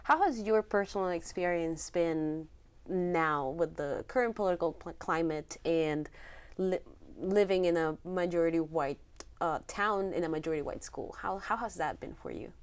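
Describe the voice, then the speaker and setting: A young woman interviewing a student for a campus news show, asking soft, attentive questions. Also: lowish voice, normal speed, clear, resonant tone.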